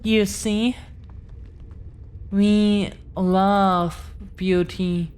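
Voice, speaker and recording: Woman playing a very slow-speaking, tender dragon girlfriend. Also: mid-range voice, fairly even intonation, darkish tone, smooth. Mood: grateful, tender. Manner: slow, halting, earnest, with repeated phrases. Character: tender, devoted young dragon-descended girlfriend, protective and earnest. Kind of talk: intimate scripted roleplay audio.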